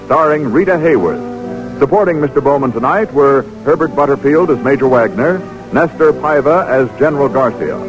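An American man reads credits in 1940s radio style. His voice sits fairly high over music, and the old recording is dull. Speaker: radio announcer.

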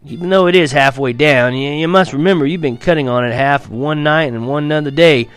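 A man voicing a cheerful, booming old grandfather in a spoken story, loud and hearty. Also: a little fast, clear, resonant tone, dry recording, soft background music, close to an everyday voice.

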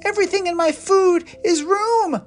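A woman doing a squeaky, excitable little boy. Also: normal speed, faint music behind. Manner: squealing, whiny, playful. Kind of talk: storybook character voice.

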